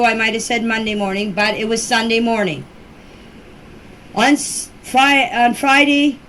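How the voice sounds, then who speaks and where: High-voiced older woman, noisy voice clip. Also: fairly bright tone. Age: older, grandmother. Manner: plain-spoken, repetitive, insistent. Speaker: relative speaking out about a missing children case. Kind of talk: informal spoken answers to group chat questions.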